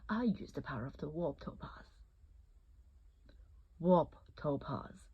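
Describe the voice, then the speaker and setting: A woman voicing a scheming, fawning male scientist villain in a theatrical, flowery cartoon style, with a breathy, husky voice. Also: fairly low pitch, mellow, dull audio, exaggerated voice.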